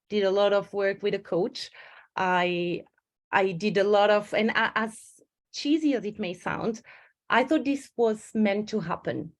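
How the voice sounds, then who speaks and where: A woman, a stress management coach giving an online webinar, speaking in an earnest, personal way and often correcting herself mid-sentence. She speaks into a home computer mic on a video call. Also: medium pitch. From Switzerland.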